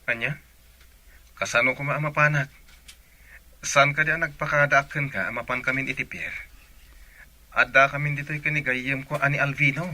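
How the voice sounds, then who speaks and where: A very bright, forceful young seaman, earnest and emphatic. He is a devoted sweetheart in a radio drama, quick to protest, with a mid-pitched voice. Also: fairly even intonation. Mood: puzzled, defensive.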